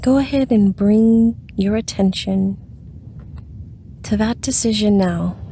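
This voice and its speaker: Woman, meditation guide, very slow pace, mellow tone, soothing, long pauses, light background noise. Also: mid-range voice.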